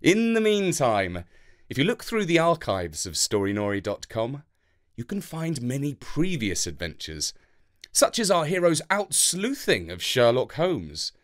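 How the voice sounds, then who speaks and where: Highly animated male storyteller. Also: unhurried. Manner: dramatic, playful delivery. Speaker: storyteller reading a children's story. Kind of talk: animated scripted narration of a children's story.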